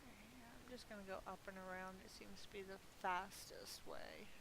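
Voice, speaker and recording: A woman's voice: medium pitch, moderate pace. A middle-aged woman giving casual, rambling gameplay commentary in a chatty, self-amused way, as if thinking aloud. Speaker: gaming video host. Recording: clearly audible background hiss.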